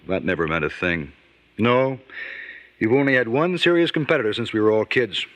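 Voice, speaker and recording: A man's voice: lowish voice, expressive, brisk, a bit bright. An actor playing an amused, mildly stern family father in an old-time radio drama, talking in a droll, patronizing way. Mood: contented. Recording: noisy old radio recording.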